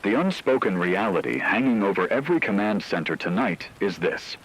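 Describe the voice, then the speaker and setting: A man playing a grave war analyst in a scripted emergency radio broadcast, measured, formal and ominous, over a noisy, rain-like hiss. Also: fairly low pitch, big pitch swings, husky, exaggerated voice. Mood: grave, foreboding. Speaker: news correspondent.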